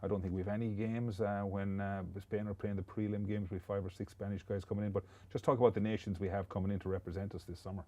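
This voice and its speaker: A man's voice: lowish voice, little pitch movement, quick pace, hardly any pauses, darkish tone, dry recording. A man hosting a casual interview podcast, talking in a chatty, rambling way with many restarts. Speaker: podcast host.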